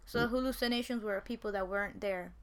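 A woman with a high voice co-hosting a podcast, tossing in joking, teasing asides. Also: fairly quick, vocal fry, crowd noise. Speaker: podcast co-host. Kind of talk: casual chat.

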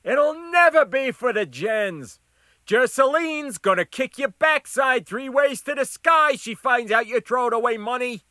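A man voicing a scrappy, slangy, grumbling diner loudmouth with a brash, shouted delivery in an audiobook. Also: very high-pitched, lively intonation, measured pace, no room sound, studio quality, pitched above the performer's own voice, heavily acted. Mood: indignant, loud.

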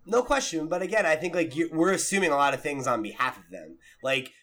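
A man's voice: on the high side, animated, fast talker, a bit bright. A man hosting a podcast, reasoning aloud in casual, informal discussion. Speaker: podcast host.

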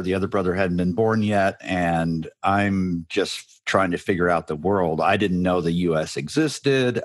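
A man with a very deep voice, recorded close and dry. He talks casually and wryly as an interview guest, often chuckling. His manner is self-deprecating and relaxed. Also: no echo. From Central America. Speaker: guest, business advisor.

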